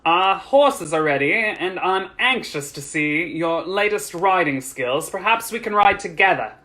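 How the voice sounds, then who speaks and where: A woman voicing a suave, encouraging gentleman in a storybook, with genteel manners. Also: fairly low pitch, expressive, unhurried.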